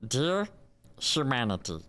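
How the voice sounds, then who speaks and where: A man's voice: mid-range voice, big pitch swings, slow, sharp tone, voice pushed up, heavily acted. A man voicing a mock-formal, theatrical character who reads out a scripted comic monologue. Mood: mock solemn.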